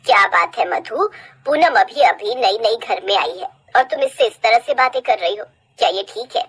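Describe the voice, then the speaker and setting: A woman voicing a stern, fair-minded older mother-in-law with a measured, admonishing, authoritative way of talking in a cartoon family story. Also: on the high side, animated, a bit bright, breathy edge. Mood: reproachful.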